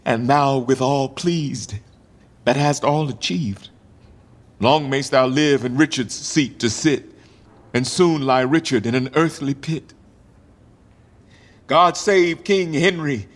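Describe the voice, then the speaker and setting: A man acting a deposed English king in Shakespearean verse, grieving and bitterly ironic, with a theatrical, deliberate delivery. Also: on the high side. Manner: theatrical, deliberate, swinging from hushed to declaiming. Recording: long reverberant hall.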